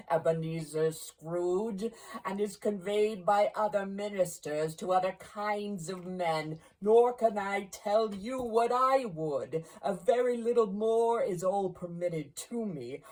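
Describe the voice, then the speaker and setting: A woman voicing a mournful, grave male ghost in chains from a storybook, declaiming in slow, drawn-out wails. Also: medium pitch, pitched above the performer's own voice, low-quality recording. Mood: mournful, forceful.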